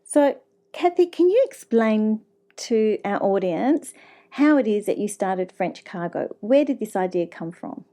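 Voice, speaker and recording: A female host with a soft-edged, mellow voice at a medium pitch. She interviews casually, with a gushing and encouraging way of talking. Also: lively intonation, measured pace.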